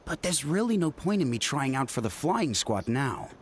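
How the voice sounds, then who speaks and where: An actor playing a contented, friendly ex-warrior who now runs hot air balloons in a video game. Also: male voice, mid-range voice, big pitch swings, normal speed, a little hiss or hum, natural voice. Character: easygoing grounded warrior turned balloon keeper.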